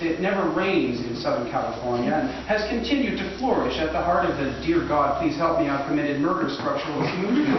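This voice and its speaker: Male poetry reader, higher-pitched, mock-scholarly delivery, dull distant live recording. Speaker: poetry performer. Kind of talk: scripted poetry reading, mock-academic lecture. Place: live reading in a gallery. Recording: echoey room, distant dull mic, clear background noise.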